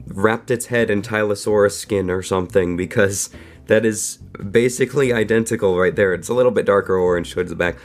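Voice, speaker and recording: A young man hosts a toy review while sick, which roughens his voice. His pitch sits a little low, and he talks in a chatty, enthusiastic way, full of fillers and small restarts. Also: fairly quick, soft background music. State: sick, voice affected. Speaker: toy reviewer, channel host. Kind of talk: casual video review.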